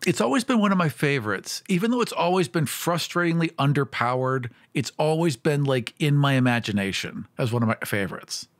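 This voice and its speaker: A woman's voice: sits low, animated, fairly quick, hardly any pauses, no echo, clean studio sound. A woman talking casually about tabletop gaming, playful and questioning, with colloquial phrasing.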